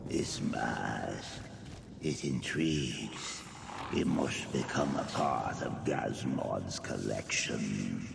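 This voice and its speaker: An actor playing a haughty male creature collector who speaks of himself as plural, in a deep gravelly voice with slow, imperious delivery. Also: very noisy, full character voice. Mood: haughty, disdainful. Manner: slow, imperious, refers to others as "it". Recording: echoing hall reverb with game ambience.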